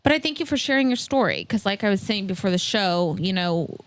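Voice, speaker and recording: A woman hosting a podcast interview, enthusiastic and exclamatory with quick reactions. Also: medium pitch, animated. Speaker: podcast host. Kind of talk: casual interview conversation. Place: warm room with fans running.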